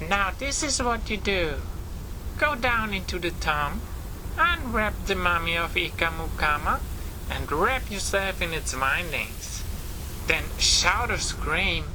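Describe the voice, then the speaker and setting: Slow, melodramatic scheming archaeologist played by a man, swooping widely. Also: fairly high pitch, noisy background. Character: scheming, greedy, treacherous archaeologist plotting murder. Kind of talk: horror comic audio drama acting. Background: music.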